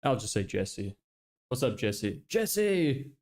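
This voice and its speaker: A young man from Lithuania who grew up in Ireland, chatting casually on a livestream, relaxed and rambling with many restarts. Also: medium pitch, slow. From Lithuania, raised in Ireland. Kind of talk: casual livestream chat, reading chat.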